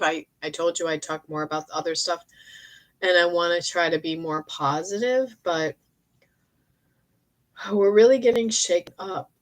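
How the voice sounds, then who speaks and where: A middle-aged woman with a cold, losing her voice, chatting in a meandering way on a live stream and often clearing her throat. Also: fairly low pitch. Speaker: psychic medium streaming live. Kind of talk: rambling live-stream chat. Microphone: phone or webcam for a live stream.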